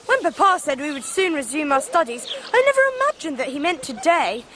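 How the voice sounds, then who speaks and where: An English actress playing a spirited, well-spoken young Victorian girl in an expressive radio drama performance. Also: sits high, brisk, full character voice. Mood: indignant. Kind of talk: 1990s radio drama acting.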